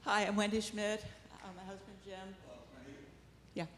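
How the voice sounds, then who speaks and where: An older woman sharing a memory of a friend at a memorial service, halting, with many fillers and restarts. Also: mid-range voice, little pitch movement, a bit bright. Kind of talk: informal memorial remembrance. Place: church sanctuary. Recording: echoey church with long reverb and faint noise.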